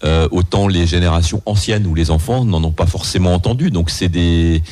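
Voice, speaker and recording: Brief: older man, radio interviewer, very deep voice, hesitant delivery. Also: normal speed, darkish tone. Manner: hesitant, many restarts and fillers. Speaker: radio host.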